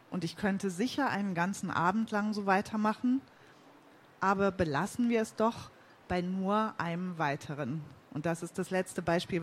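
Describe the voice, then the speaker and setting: A man reading his reportage text aloud at a book presentation, in a measured, deliberate way. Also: high voice.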